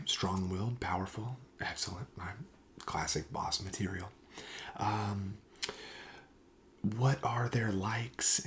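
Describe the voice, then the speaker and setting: A man playing a friendly, reassuring card shop clerk in a soft-spoken ASMR roleplay, breathy and often near a whisper, with casual, hesitant sales patter. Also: on the low side, slight background noise. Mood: welcoming, reassuring.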